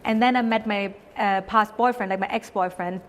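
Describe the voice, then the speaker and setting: A woman's voice: mid-range voice, fairly even intonation, a little fast, in a large hall, a little hiss or hum. A woman in her late twenties from Thailand, a podcast guest talking casually with many fillers and restarts. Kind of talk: casual conversation.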